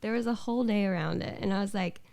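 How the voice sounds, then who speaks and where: A woman's voice: medium pitch, fast talker, creaky, darkish tone, dry recording. A young American woman co-hosting a podcast, chatting casually and telling stories in a laid-back, sarcastic manner. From Alabama and the southeastern US. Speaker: podcast co-host. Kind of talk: casual conversational storytelling.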